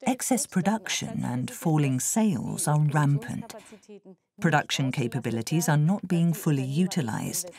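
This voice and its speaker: A woman speaks as an interviewed expert, stressing her points seriously and emphatically. Her voice is low and mellow, and her pitch moves a lot as she leans into key words. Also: expressive, moderate pace.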